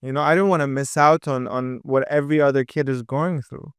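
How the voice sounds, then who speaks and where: A lively young man from with a crisp voice. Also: medium pitch, no room sound, studio quality. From Dubai. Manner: energetic, quick, with many fillers and restarts. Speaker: podcast guest, entrepreneur. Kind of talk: casual interview chat.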